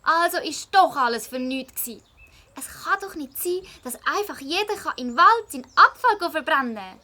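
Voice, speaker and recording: Schoolgirl sleuth played by a woman, Swiss German, high-pitched and crisp-edged, earnest and insistent, for a kids' radio play. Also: animated, normal speed, bright, forceful tone. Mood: disappointed. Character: earnest, indignant schoolgirl detective, cautious. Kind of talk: children's audio drama acting.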